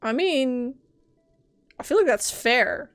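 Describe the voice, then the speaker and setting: A woman's voice: high-pitched, lively intonation, slow pace, clear, resonant tone. A young woman giving casual live gameplay commentary, playful and teasing in manner. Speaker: game streamer. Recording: game music behind voice.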